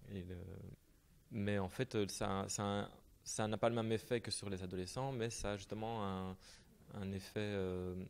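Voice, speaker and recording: A man, a hospital musician and coordinator, introducing himself and his work at a panel in a halting way, often searching for words. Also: fairly low pitch, fairly even intonation, clean tone.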